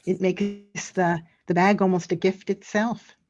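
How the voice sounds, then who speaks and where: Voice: medium pitch, level tone, a little slow, a little mellow, faint music behind. Chatty co-host on dull lo-fi audio. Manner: chatty, admiring remarks. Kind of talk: casual chat.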